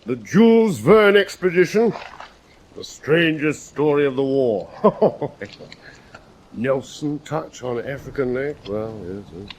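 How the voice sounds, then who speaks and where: Pompous British naval commander. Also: male voice, medium pitch, expressive, takes it slowly, slight background noise. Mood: self-satisfied. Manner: pompous, self-congratulatory. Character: vain, boastful British naval commander of the First World War. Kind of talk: radio drama acting.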